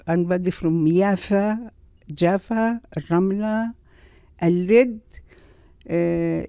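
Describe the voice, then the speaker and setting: An older woman from Palestine telling her personal story in a halting way, with many pauses and restarts, in a studio. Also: on the low side, mellow, clean tone, no room sound. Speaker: guest sharing her story. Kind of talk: personal testimony.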